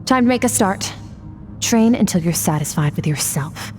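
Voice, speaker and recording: A woman playing a stern, aloof young sword master in an anime video game, measured and commanding as she instructs a pupil. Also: mid-range voice, lively intonation, darkish tone, soft background music, lightly acted. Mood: stern, focused.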